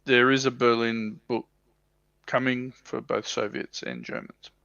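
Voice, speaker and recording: A man's voice: mid-range voice, big pitch swings, unhurried, vocal fry, fairly bright tone, no echo. A man on a livestream panel in casual hobby chat, hesitant and thinking aloud. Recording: dry, dull lo-fi microphone sound.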